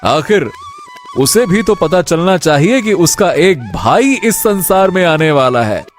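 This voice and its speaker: A man's voice: high voice, very animated, slow, faint music behind, exaggerated voice. A man playing a jubilant, generous king in a cartoon story, speaking in an exuberant, effusive manner. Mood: fond, reassuring. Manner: exuberant, effusive, frequent laughter. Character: jubilant, generous king and proud expectant father. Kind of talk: Hindi cartoon story acting.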